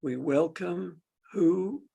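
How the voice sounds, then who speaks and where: A man with a gravelly, husky voice guides a meditation as a teacher, in gentle, unhurried, halting short phrases. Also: sits high. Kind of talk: slow guided meditation with long pauses.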